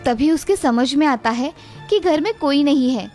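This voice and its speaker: A woman narrating an animated children's story in a steady, clear storytelling manner. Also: high voice, moderate pace, faint music behind.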